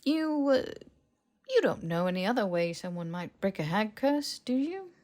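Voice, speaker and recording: A woman's voice: medium pitch, very animated, moderate pace, a little mellow, voice pushed up, natural voice. A woman voicing an evasive, casual young man in an audiobook, with a hesitant way of talking. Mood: awkward, fishing. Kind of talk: audiobook character dialogue.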